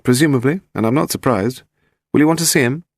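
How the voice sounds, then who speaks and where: A man voicing a cool, brisk female secretary with a crisp, deadpan manner. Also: medium pitch, no room sound, studio quality, voice pushed up, lightly acted. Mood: businesslike.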